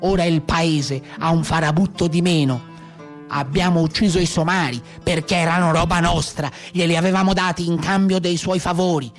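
A man's voice: fairly high pitch, steady tone, moderate pace, faint music behind, pitched below the performer's own voice, close to an everyday voice. A man playing a proud, menacing Tuscan brigand telling his story bluntly in a theatrical monologue. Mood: menacing, cold. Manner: colloquial, blunt, boastful telling. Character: old-time Maremma brigand, proud, defiant, menacing storyteller.

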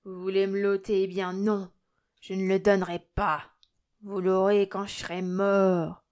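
A miserly old fisherman from a storybook, acted out by a woman reader. He is gruff and ailing, stubborn to the bone. His grumble climbs to a shrill, defiant rage. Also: level tone, unhurried, darkish tone, natural voice. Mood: indignant, stubborn. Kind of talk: audiobook character acting.

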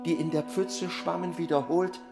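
A man narrating a radio drama in a measured, dramatic literary style, with a reverberant sound. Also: on the high side, fairly even intonation, vocal fry. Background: music.

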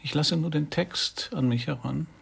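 Creaky, breathy reclusive writer. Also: male voice, fairly high pitch, moderate pace, a bit bright, heavily acted. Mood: aloof, disdainful. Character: reclusive, fearful, allergic male writer, disdainful of others. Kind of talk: German radio drama acting.

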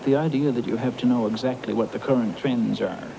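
A man from Newfoundland, a novelist, giving deliberate, opinionated views in an interview on archival tape. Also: medium pitch, vocal fry. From Newfoundland, Canada. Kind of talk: reflective interview talk. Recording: noisy archival documentary tape.

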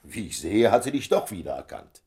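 An actor in a German radio play voicing a courteous, diplomatic gentleman, urbane and measured, with a gravelly, husky voice. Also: on the low side, huge pitch swings, full character voice. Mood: dryly amused.

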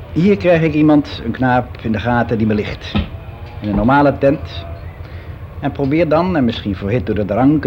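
A man's voice: medium pitch, a little hiss or hum, close to an everyday voice. An actor playing a fussy middle-aged man who confides in a hesitant, matter-of-fact, conversational way, as in a radio play monologue. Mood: confiding. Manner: hesitant, matter-of-fact, self-justifying, as if answering an interviewer. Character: frank, fussy middle-aged man confiding about his private life. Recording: dull lo-fi radio recording.

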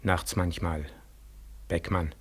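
An actor in a 1940s German radio drama playing a dead war returnee, a mournful, gently accusing ghost who speaks slowly and solemnly with heavy pauses. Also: male voice, low-pitched, fairly even intonation, husky, heavily acted. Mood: soft, weary pleading. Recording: 1940s radio studio recording.

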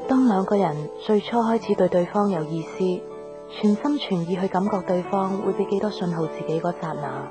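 An actress playing a gentle young career woman in love in a radio drama, speaking Hong Kong Cantonese. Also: medium pitch, normal speed, natural voice. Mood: wistful. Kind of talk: radio drama narration and dialogue. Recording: music behind the voice.

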